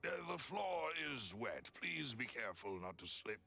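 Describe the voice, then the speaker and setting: A man playing a courteous, careful gentleman attendant in an anime video game, speaking formally and politely. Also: medium pitch, very animated, moderate pace, gravelly, soft background music, heavily acted. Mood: cautious.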